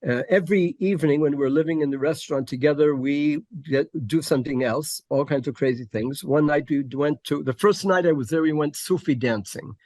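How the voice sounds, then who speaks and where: An older man from Connecticut, talking in a halting, filler-heavy way as an interview guest. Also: a little high, darkish tone, dry recording. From Connecticut, USA. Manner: halting, many fillers and restarts. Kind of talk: casual interview conversation.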